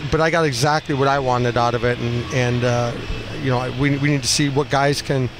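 Middle-aged coach over clear background noise. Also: male voice, mid-range voice. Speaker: ice hockey coach. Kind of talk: postgame interview, unscripted talk. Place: small cinder-block room indoors at a rink. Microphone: headset boom mic. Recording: noisy arena room, steady background din.

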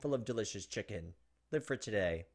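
A man's voice: lowish voice, animated, fairly quick. A man in his thirties hosting a gameplay video, expressively reading a visual novel's narration aloud.

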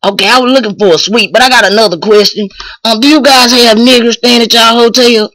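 A man's voice: extremely high pitch, steady tone, fast talker, bright, forceful tone, full character voice. A young man voicing a brash, insistent rural bigot in a comic prank phone call. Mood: nosy, blunt. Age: young adult. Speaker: prank caller. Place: small room indoors, close to a webcam, holding a phone.

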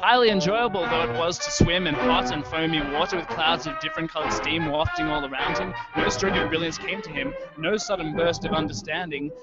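Music plays clearly behind a young man reading literary fiction aloud. His voice sits a little high, and his delivery is measured and deliberate. The sound is somewhat dull, like a lo-fi online stream. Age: young adult. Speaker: writer reading his own audio fiction. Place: indoor room with wooden ceiling. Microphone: handheld-style microphone close to mouth, video call. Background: prominent musical soundscape.

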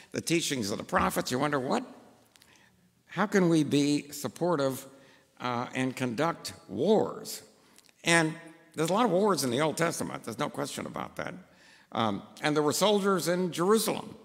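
A man's voice: medium pitch, creaky, fairly bright tone. An older man, a pastor, preaching a sermon from a pulpit, telling personal stories in a rambling way with many fillers. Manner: rambling, anecdotal, with frequent fillers. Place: church sanctuary, at a pulpit. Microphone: gooseneck pulpit mic. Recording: church hall reverb.

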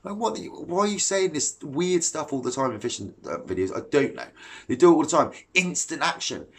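Fishing vlogger, man in his thirties, animated and blunt, talking to a webcam in his living room. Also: fairly high pitch, fairly quick. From England. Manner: blunt, animated and exasperated, gestures while talking. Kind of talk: ranting to camera. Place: living room at home, indoors. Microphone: webcam at desk distance.

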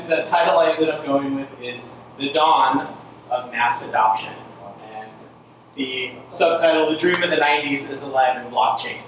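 A man giving a casual, joking tech presentation to a meetup audience on a handheld mic, with many fillers. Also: mid-range voice. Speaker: meetup speaker. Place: large indoor event space with audience. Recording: echoey room, mic from far off, noisy.

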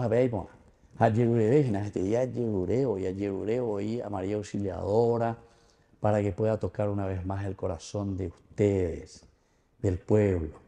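Dark-voiced older Paraguayan priest. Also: male voice, fairly low pitch, creaky. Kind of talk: gospel reading and homily at Mass. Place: indoor chapel. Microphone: small mic clipped to vestment.

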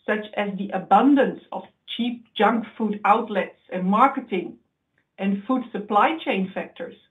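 A woman giving a webinar talk from slides, explaining in a measured way with occasional slips and restarts. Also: medium pitch. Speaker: research presenter. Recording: tinny phone-like audio.

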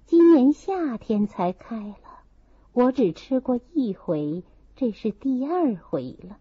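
A woman voicing a proud, fastidious, aloof young nun in a novel reading, with a haughty, cutting manner. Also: on the high side, sing-song, slightly rough, no room sound, full character voice. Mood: cool, disdainful. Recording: slightly dull, muffled recording.

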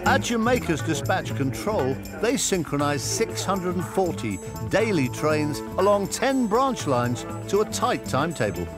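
A man narrating a documentary in a measured, scripted voice-over. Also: sits high, big pitch swings, over music. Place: studio voice-over over music.

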